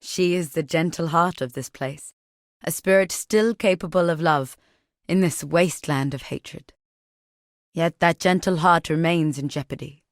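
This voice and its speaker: Game role: solemn warrior matriarch, fairly deep female register, deliberate and formal, protective, very dry recording. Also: dry recording. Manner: measured, grave, formal, deliberate phrasing. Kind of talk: video game character dialogue.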